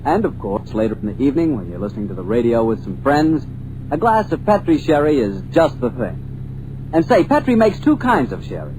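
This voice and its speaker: A male radio announcer giving a chummy, persuasive scripted pitch. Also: darkish tone, poor audio. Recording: old radio broadcast with faint hiss.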